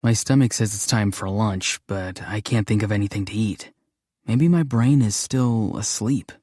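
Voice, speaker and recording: An actor voicing a dreamy, awkward, gentle young man in a fantasy game, musing and hesitant in a deadpan way. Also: lowish voice, moderate pace, no echo, clean studio sound, natural voice. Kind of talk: scripted game character voice lines.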